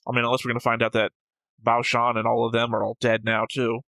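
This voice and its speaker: Bright-voiced man reasoning through theories. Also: medium pitch, completely flat delivery, quick pace, no room sound. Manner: methodical, argumentative explaining. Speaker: reaction show co-host. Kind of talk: casual analytical discussion.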